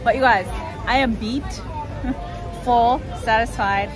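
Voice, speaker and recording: A woman's voice: on the high side, takes it slowly. A woman chatting casually as she hosts a vlog on a handheld camera. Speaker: vlog host. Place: busy outdoor night market and restaurant. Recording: background music and crowd bustle. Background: music, crowd chatter.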